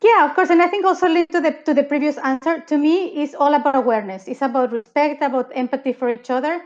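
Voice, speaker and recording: Woman from Mexico, high voice, earnest panel speaker, repeats herself, muffled lo-fi sound. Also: rapid-fire, a little mellow. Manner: earnest, repeats words, tags "right?". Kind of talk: panel discussion.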